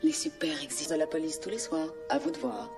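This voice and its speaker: Cartoon casting: composed, secretive female recruiter, deep register, formal delivery, sounds set back under music. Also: very animated, fast, vocal fry, full character voice. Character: poised secretive woman recruiting a superhero for missions. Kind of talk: French animated film dub acting. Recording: distant voice under background music.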